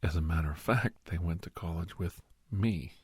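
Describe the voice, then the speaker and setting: A man playing a kindly doctor in an ASMR roleplay, speaking in a hushed, breathy whisper with pauses. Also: low-pitched, no room sound, studio quality. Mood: confiding. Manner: hushed, unhurried, with pauses and soft chuckles. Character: kindly doctor advising a young werewolf, old family friend.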